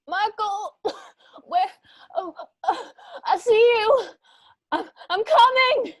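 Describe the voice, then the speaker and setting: Distraught mother for an actress: high voice, very slow halting delivery, dry close sound. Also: dry recording, exaggerated voice. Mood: panicked. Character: bewildered, distraught mother lost in a nightmare. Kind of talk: radio drama acting.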